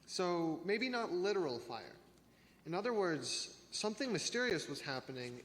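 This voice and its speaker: In a reverberant hall with faint noise, a young man plays a bookish teenage boy with a fairly high voice. He reads as an informative trivia lover in a church reader's theater. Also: fairly bright tone. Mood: thoughtful. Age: teenager or young adult. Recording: echoey hall with background noise.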